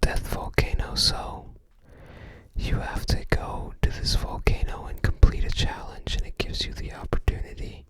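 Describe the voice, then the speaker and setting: An ASMR creator whispering casual, musing commentary on a game guide, often tentative while recalling details. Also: a bit bright. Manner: unhurried, musing, tentative recollection.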